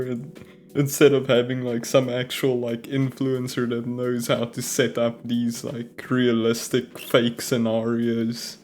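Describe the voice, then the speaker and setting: A South African man, a native Afrikaans speaker, co-hosting a casual podcast chat, hesitant and laughing often. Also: mid-range voice. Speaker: podcast co-host. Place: living in America.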